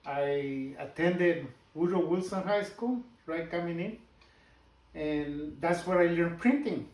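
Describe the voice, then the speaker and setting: A man in his sixties from Guatemala with a Spanish accent, telling his story haltingly in a casual interview. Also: a little high, darkish tone, roomy sound, far from the mic, low-quality recording. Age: 60s. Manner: halting, personal storytelling with pauses and restarts. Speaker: business owner guest.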